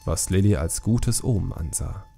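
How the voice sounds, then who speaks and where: Low, gravelly male audiobook narrator. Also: moderate pace, dark tone, faint music behind. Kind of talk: scripted fantasy narration.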